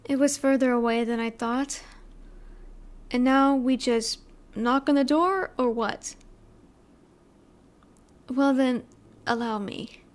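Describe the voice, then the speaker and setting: A woman acting an obsessive, possessive scientist in an audio roleplay, coaxing the listener with drawn-out words and breathy drops toward a whisper. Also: high-pitched. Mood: feigned innocence.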